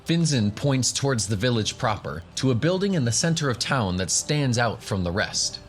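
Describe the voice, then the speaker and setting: A man's voice: mid-range voice, very animated, normal speed, slight background noise. A man narrating a fantasy tabletop story as game master, in a scripted third-person storytelling style.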